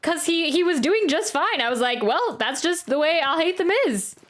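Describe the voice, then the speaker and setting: Higher-voiced woman chatting through a game stream. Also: expressive, normal speed. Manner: chatty, thinks aloud while playing. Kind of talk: casual live gameplay commentary. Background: video game music.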